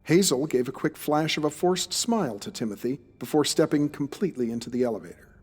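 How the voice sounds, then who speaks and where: A man playing the narrator of an audio drama, telling the story in a literary, deliberate, descriptive way. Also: a little high, animated, a little mellow, husky. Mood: wry. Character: storytelling male narrator, confidant of the detective. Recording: long reverb, music under the voice.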